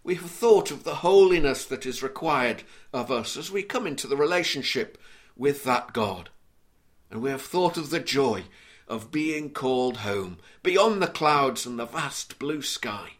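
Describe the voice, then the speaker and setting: A man from Liverpool with a mild Scouse accent, preaching an earnest, measured sermon and reading scripture aloud. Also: mid-range voice, animated. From Liverpool, England.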